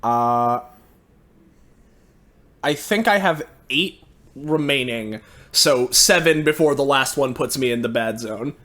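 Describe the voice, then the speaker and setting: A man's voice: mid-range voice, takes it slowly, pauses often, fairly bright tone. A man talking casually as a player in a tabletop game, with hesitant, easygoing phrasing.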